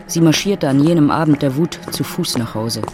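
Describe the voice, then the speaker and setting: Literary narrator over clear music. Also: mid-range voice, moderate pace.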